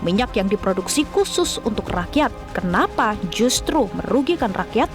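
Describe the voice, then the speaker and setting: A woman's voice: mid-range voice, big pitch swings, moderate pace, music in the background. A woman reading scripted television news narration as a voice-over.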